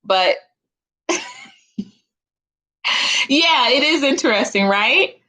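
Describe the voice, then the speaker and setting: A woman in her forties with a bright, forward voice, talking to viewers of her live stream. She is chatty and informal, addressing the listeners directly in a loose, conversational way. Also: high-pitched, expressive, very slow. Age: 40s. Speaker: host of a live chat stream. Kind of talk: casual live chat with viewers.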